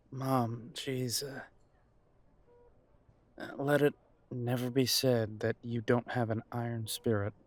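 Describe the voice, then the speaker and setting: A blunt grown son back from soldiering, played by a man in an audio drama. He speaks slowly at a middling pitch, direct yet worried, hesitating when feelings come up. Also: flat delivery, dry recording, lightly acted. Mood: wry, embarrassed.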